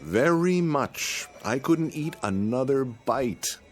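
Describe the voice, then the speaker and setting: A man's voice: medium pitch, animated, slow pace, soft background music. An actor playing a pompous, penny-pinching man with overly polite, formal speech in a radio drama. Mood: polite, smug. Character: fussy, pompous, penny-pinching male freeloader.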